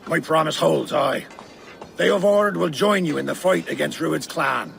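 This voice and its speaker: Gruff saxon reeve, very gravelly, slow, lively pitch, some noise. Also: male voice, heavily acted. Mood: solemn. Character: older male Saxon reeve, gruff and loyal. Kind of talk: video game cutscene acting.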